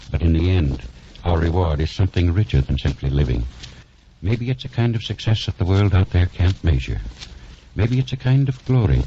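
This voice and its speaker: A man's voice: sits low, mellow. An actor playing an elderly senior doctor, a grave and fatherly mentor who speaks in solemn, oratorical 1940s radio drama style. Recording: muffled, noisy 1940s radio recording.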